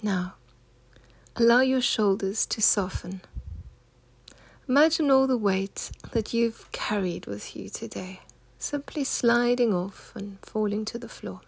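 A woman guides a meditation in a hushed, husky voice with a noticeable creak. She speaks gently and without hurry, soothing as she instructs. The sound is close and dry, with no room around it. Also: mid-range voice, slow, dry recording. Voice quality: soft, breathy, husky, near-whispered.

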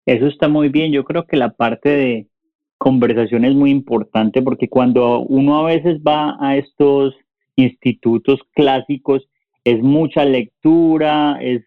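A man's voice: mid-range voice, a little slow, no room sound. A Colombian man hosting a podcast, chatting informally and asking friendly questions. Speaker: podcast host. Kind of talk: casual interview chat. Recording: dull, dry lo-fi sound.

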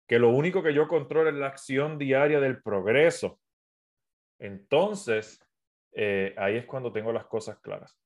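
A man from Puerto Rico with a Puerto Rican accent softened by years in South America, giving business advice on a casual live stream, talking straight to his viewers with many fillers and restarts. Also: mid-range voice. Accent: Puerto Rican Spanish with a South American mix. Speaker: entrepreneur and business coach. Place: at home, phone on a tripod.

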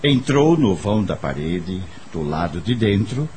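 A man's voice: deep voice, sing-song, measured pace, darkish tone, a little hiss or hum. A man with a Brazilian accent narrating a radio drama as a scripted storyteller.

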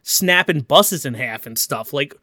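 A man from New Jersey riffs on a film in a halting, filler-heavy way. His voice sits high and moves around a lot. The recording is dry and close. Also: expressive, bright, forceful tone, no room sound. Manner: halting, many restarts and likes. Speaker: podcast co-host. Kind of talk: casual movie commentary.

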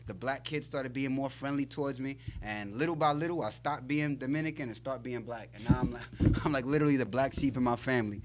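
A man in his thirties, a native Spanish speaker, guesting on a podcast and telling lively stories with frequent laughs. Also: medium pitch, a little fast, few pauses, a little hiss or hum. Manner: animated storytelling, laughs often. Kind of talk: casual chat.

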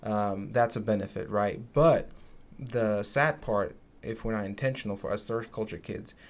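A man's voice: lowish voice, normal speed. A young man from Zimbabwe, co-hosting a podcast, talking in an earnest, reflective way with many fillers. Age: young adult. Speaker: podcast co-host. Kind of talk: casual podcast conversation.

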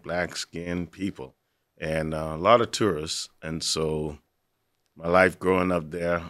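A man's voice: low voice, fairly bright tone, no echo, clean studio sound. A middle-aged man from the Bahamas, earnestly telling his personal story as an interview guest. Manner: reflective, earnest, with easy laughs. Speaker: university assistant director, guest.

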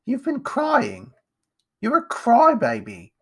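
A man's voice: extremely high pitch, huge pitch swings, slow, a bit bright, slightly rough, pitched above the performer's own voice, full character voice. A man voicing a haughty rich little boy from a storybook, with a sneering, taunting manner. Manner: sneering, contemptuous.

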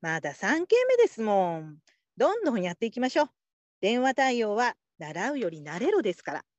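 A woman playing a patient, supportive call-centre supervisor in a radio drama, calm and politely instructive. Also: on the high side, brisk, dry recording, highs cut off. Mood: gentle, instructive. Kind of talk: Japanese radio drama acting.

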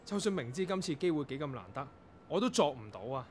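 An actor in a Cantonese radio drama playing a tormented young composer, curt and dramatic, with a Hong Kong accent. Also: male voice, a little high, expressive, fast, slight background noise, lightly acted. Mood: bitter despair. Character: tormented young male composer, curt, anguished, losing control of his ears.

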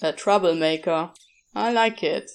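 A woman's voice: on the low side, a little slow, clean tone, voice pushed down. A woman voicing a wry, mischief-loving male demon in a casual, teasing drawl. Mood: amused.

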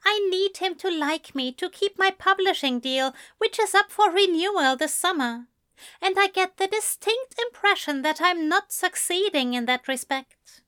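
A woman voicing a sad, gentle male author with a genteel, old-fashioned politeness, reading from a story. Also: very high voice, a little slow, few pauses, dry recording, clean studio sound, pitched above the performer's own voice. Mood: sad, resigned.

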